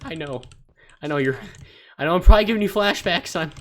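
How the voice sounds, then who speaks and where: A man's voice: fairly high pitch, expressive, fast talker, slight background noise. A man telling funny stories while he plays a game. He rambles, stumbles over his words and laughs easily. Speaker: gaming channel creator. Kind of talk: casual storytelling over gameplay. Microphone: desktop mic.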